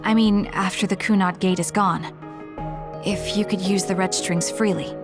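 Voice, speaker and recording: Lady acting guarded young fighter girl from a video game, blunt, medium pitch, music clearly behind. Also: fairly even intonation, normal speed, natural voice. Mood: pensive, guarded. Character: reserved, blunt, pensive young female fighter. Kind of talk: English video game voice acting, dramatic dialogue.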